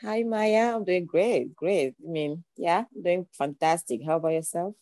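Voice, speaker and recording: A woman's voice: on the low side, moderate pace, mellow, no room sound, clean studio sound. A young woman from Cameroon with a Cameroonian accent, speaking as a podcast guest, earnest and reflective, building her points through repeated phrases. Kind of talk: podcast interview.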